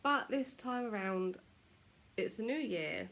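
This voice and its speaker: A woman's voice: on the high side, lively intonation, slow pace, a bit bright. A British woman chatting casually to camera at home, self-deprecating, her nose stuffy from a cold. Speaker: vlogger.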